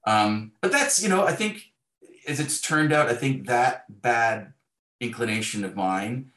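A man's voice: medium pitch, animated, measured pace, pushed and bright, echoey room. A middle-aged man speaking as a novelist in an interview, reflective and discursive, with wry self-deprecation.

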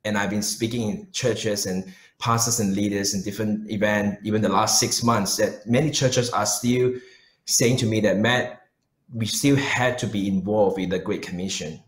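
A man's voice: fairly low pitch, fairly even intonation, moderate pace. A man with a Malaysian accent, speaking earnestly as a pastor giving personal answers in an interview. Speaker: pastor and missionary guest. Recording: roomy, echoing sound.

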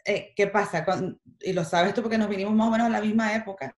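A woman's voice: mid-range voice, fairly even intonation, quick pace, echoey room. A middle-aged woman from Venezuela with a Venezuelan Spanish accent, chatting animatedly as a guest and dropping in English words. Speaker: guest, makeup artist. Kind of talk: casual interview chat.

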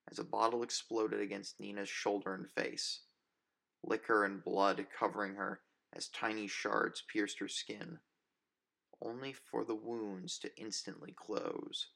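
A man's voice: lowish voice, vocal fry, sharp tone. A man reading a story as narrator, in dramatic scripted first-person narration.

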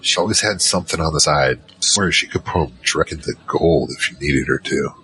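Audio drama narrator: male actor as easygoing troll mage, extremely low pitch, crisp bright edge, rambling slangy storytelling. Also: a little fast. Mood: wry reminiscing. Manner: casual, rambling, slangy asides. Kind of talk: conversational first-person drama narration.

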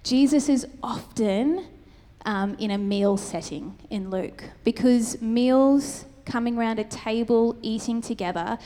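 A woman preaching a friendly, conversational sermon, her voice a little croaky. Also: a little high, measured pace, mellow. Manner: friendly, conversational teaching with light humor. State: slightly croaky voice. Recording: long hall reverb.

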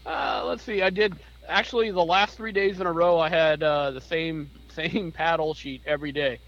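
A man's voice: on the high side, steady tone, normal speed, fairly bright tone, lo-fi sound. A man in casual chat, easygoing and hesitant, tired from a long work week. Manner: easygoing, hesitant, many fillers. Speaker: bus driver, co-host.

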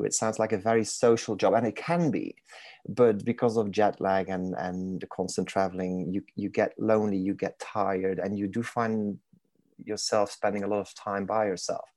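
Low-voiced Belgian man with a Manchester tinge. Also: flat delivery, moderate pace, darkish tone, no room sound. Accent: Belgian with a touch of Manchester. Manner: thoughtful, searching for words, self-deprecating humour. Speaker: guest, mindfulness coach. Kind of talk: reflective podcast interview.

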